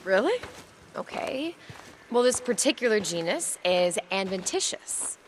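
A woman's voice: mid-range voice, very animated, a little slow, slight background noise. An American actress playing a playful, teasing young woman scientist in a video game, precise when she explains. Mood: earnest. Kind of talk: naturalistic cinematic game acting.

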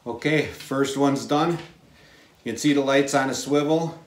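A man in his forties giving a casual how-to explanation to camera in a plain, unhurried, halting way. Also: mid-range voice, slight background noise. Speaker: DIY video host. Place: inside a small unfinished wooden cabin with a steel ceiling.

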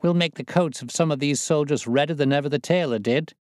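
A man's voice: fairly high pitch, a little fast, no room sound, studio quality, pitched above the performer's own voice, natural voice. A man reading a story aloud, voicing a boastful, swaggering young male convict who leads a plot. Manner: boastful, coarse, excitable.

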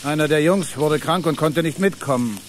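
A man's voice: fairly high pitch, moderate pace, slight background noise, close to an everyday voice. A man playing an evasive, matter-of-fact tour operator posing as a mercenary in a German audio drama.

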